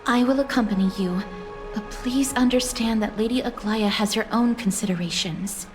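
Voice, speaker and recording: Casting: actress as polite, earnest young woman fighter in a fantasy game, slightly high voice, formal and gentle. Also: normal speed, over music, natural voice. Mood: earnest, cautious. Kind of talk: dramatic video game acting.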